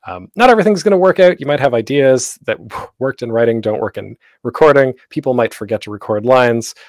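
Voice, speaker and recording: A man gives a casual how-to presentation in a medium-pitched voice. He rambles informally and breaks off his own sentences, recorded dry with no room sound. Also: lively intonation, fairly quick, no room sound. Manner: informal, rambling, self-interrupting. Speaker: panel host teaching audio drama production. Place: close, dry home recording for an online panel.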